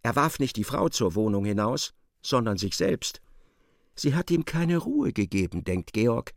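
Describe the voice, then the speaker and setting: A man acting as the sardonic narrator of a literary radio play, articulate and expressive. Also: medium pitch, a little slow, creaky, no echo, clean studio sound. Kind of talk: dramatised scripted narration.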